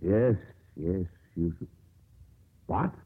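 A man's voice: low voice, animated, drawn-out words, slightly rough, exaggerated voice. An actor playing a blustery, fond older sea captain and father in a 1950s radio drama, sputtering and emphatic. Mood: flustered protest. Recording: muffled, narrow-band old radio broadcast.

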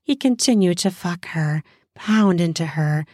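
A woman narrator reads an erotic story in a lowish, husky voice. She takes her time, very slowly drawing out phrases with intimate deliberation. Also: expressive, mellow, no room sound, clean studio sound.

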